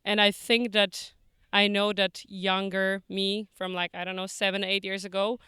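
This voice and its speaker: Young woman, bright crisp voice, chatty n laughy, lots of likes. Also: mid-range voice, little pitch movement, normal speed, dry recording, studio quality. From Poland. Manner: chatty, laughs often, many fillers. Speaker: street interviewee. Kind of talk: casual street interview.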